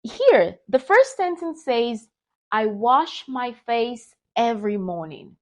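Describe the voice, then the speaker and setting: A young adult woman whose first language is Yoruba, teaching a beginner English grammar lesson slowly and carefully. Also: a little high, big pitch swings. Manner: slow, careful, reads example sentences deliberately.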